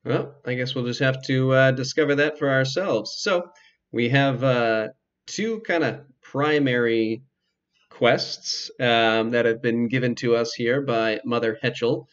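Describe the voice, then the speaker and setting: A man giving casual, explanatory let's play commentary, with hesitant pauses as he goes. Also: mid-range voice, highs cut off. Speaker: video game player and commentator.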